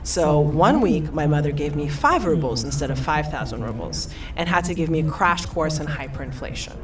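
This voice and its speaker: A woman in her thirties with a low voice shares how she came to her field, in an animated storyteller's manner. She sits back from the microphone, and a crowd can be heard in the room. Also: moderate pace. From Eastern Europe. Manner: animated storyteller, laughs often. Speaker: panelist. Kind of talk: personal story on a panel. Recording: far from the mic, crowd behind.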